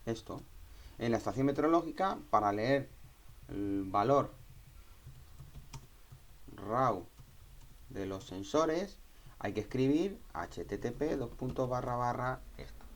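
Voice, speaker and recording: A man giving an informal software tutorial, explaining step by step, repeating himself and checking in with short tag questions. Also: medium pitch, lively intonation, takes it slowly, long pauses. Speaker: tutorial instructor.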